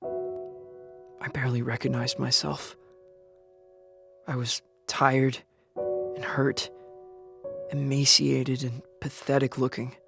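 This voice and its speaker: A man's voice: mid-range voice, little pitch movement, takes it slowly, vocal fry, pauses often. An actor playing a young male survivor of an apocalypse in a first-person audio drama, earnest, shaken and grieving for his father. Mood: somber, reflective. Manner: somber, earnest storytelling with anguished outbursts. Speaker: lead actor and narrator. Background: music.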